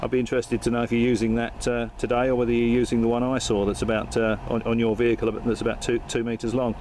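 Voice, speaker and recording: A man's voice: medium pitch, flat delivery, fast, darkish tone, a little hiss or hum. Chatty man making casual radio talk. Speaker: amateur radio operator. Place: vehicle outdoors in Perth suburbs. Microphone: handheld camera.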